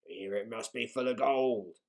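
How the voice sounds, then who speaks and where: A man voicing a bossy male pirate chief who gives loud orders. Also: mid-range voice, unhurried, vocal fry. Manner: loud and commanding.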